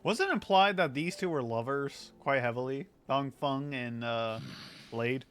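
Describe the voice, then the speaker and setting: A man's voice: mid-range voice, measured pace, a bit bright, smooth, soft background music. A man streaming a game, casually reacting to the story and musing aloud in a rambling way.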